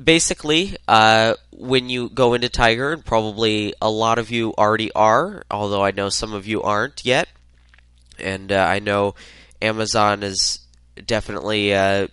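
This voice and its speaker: A man's voice: fairly low pitch, fairly even intonation, normal speed, a bit bright, clear, resonant tone, no echo. A man with a head cold hosting a solo tech podcast, talking loosely with lots of uhs. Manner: rambling, many filler uhs. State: congested from a cold. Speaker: podcast host.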